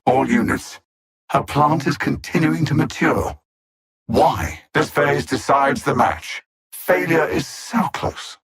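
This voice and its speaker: A coldly calculating alien supervillain from a video game, haughty and mocking. The voice is husky and full of gravel, sliding widely up and down as he delivers formal, condescending threats. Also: medium pitch, a bit bright, full character voice. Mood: gloating menace. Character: cold, arrogant alien machine-intellect villain, condescending and calculating. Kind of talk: scripted video game villain dialogue.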